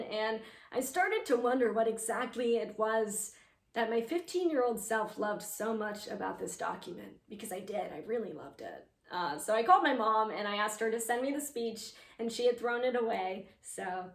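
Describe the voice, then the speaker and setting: A woman's voice: fairly high pitch, a bit bright, recorded from a distance. A woman playing an earnest, eager playwright reliving her teenage speechmaking self, in a chatty, emphatic theatrical monologue addressed to an audience. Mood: chatty, nostalgic. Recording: echoey room.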